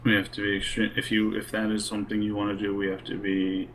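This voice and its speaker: A man's voice: on the low side, completely flat delivery, quick pace, fairly bright tone. A man talking casually and cautiously as a player in a group role-playing game. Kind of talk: casual in-character group planning. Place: online voice session for streamed role-play game.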